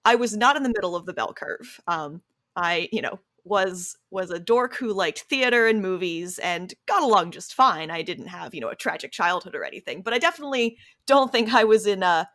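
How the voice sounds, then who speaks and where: A woman's voice: medium pitch, animated, normal speed, fairly bright tone, dry recording, clean studio sound. An American woman chatting casually in an interview, thinking aloud in a hesitant, self-reflective way. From Delaware County, United States. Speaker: interviewee and interviewer, podcast cast member.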